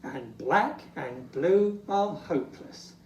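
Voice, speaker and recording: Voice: mid-range voice, expressive, slow, a little mellow, muffled recording, slight background noise. A storybook reader voicing a troubled, fussy old Santa who sighs and laments in sing-song rhyme. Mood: fretful. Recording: echoey room, distant from mic.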